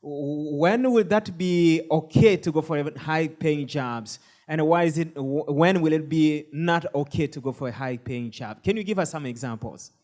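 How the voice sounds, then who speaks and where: A man's voice: a little high, moderate pace, few pauses, darkish tone. A man moderating a panel discussion, hesitant and full of fillers.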